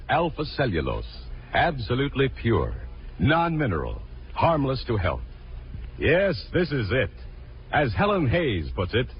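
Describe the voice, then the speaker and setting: Animated American male vintage radio pitchman. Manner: emphatic, selling. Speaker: commercial announcer. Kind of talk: 1950s radio advertising pitch. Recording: dull vintage radio recording, faint noise.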